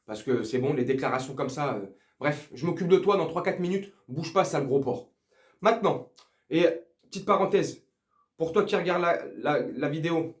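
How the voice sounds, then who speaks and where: Male football vlogger, high voice, crude confrontational banter, roomy echo. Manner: crude, slangy, teasing, confrontational. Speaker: football fan video host. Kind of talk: casual ranting commentary to viewers. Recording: echoey room.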